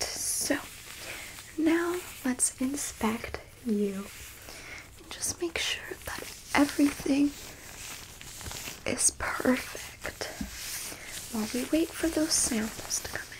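A woman playing a coolly clinical, smug and curious alien abductor in an ASMR roleplay, in a soft breathy whisper with a coyly condescending manner. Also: high voice, rough recording. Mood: curious, probing.

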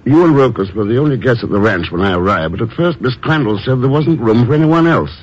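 An actor playing a cool, probing secret agent with a dry, clipped manner, in 1950s radio drama. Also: male voice, medium pitch, lively intonation, quick pace, slightly rough. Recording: muffled old radio recording, faint hiss.

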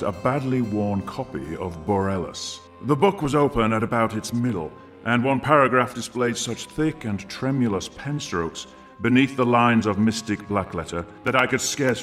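Man playing old refined english gent, lowish voice, stuffy formal recollection, big echoey reverb, radio drama. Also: lively intonation, moderate pace, close to an everyday voice. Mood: grave, disdainful. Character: elderly English gentleman scientist, refined and disdainful. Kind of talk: radio drama first-person account. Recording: long hall reverb, music behind.

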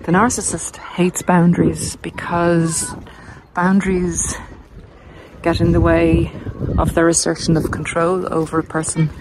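A woman with an Irish accent giving a casual, explanatory monologue in a measured, halting way while out on a walk. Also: fairly low pitch, monotone. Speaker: podcast host and narcissism recovery coach. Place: outdoors on a coastal cliff walk. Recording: noisy outdoor handheld recording.

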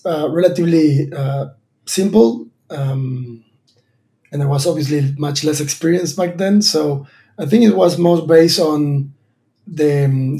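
Thoughtful Argentine-born founder, mellow voice. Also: male voice, fairly high pitch. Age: 30s. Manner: thoughtful, many fillers and restarts. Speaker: startup founder and guest. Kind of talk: interview answers.

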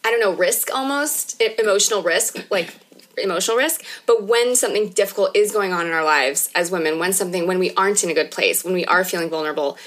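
A woman's voice: on the low side, fast, a bit bright. A woman in her thirties hosting a podcast, talking casually and candidly in an informal, conversational way. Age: 30s. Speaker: podcast host.

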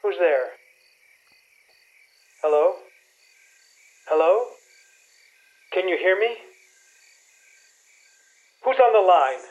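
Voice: mid-range voice, expressive, extremely slow, pauses often, darkish tone, lo-fi sound. An actor playing an anguished, pleading caller reaching out to someone lost, in a radio play. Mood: desperate, searching. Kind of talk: radio drama acting.

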